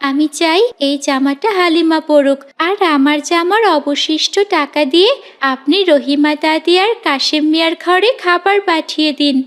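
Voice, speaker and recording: Very high, earnest young girl. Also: normal speed, a bit bright.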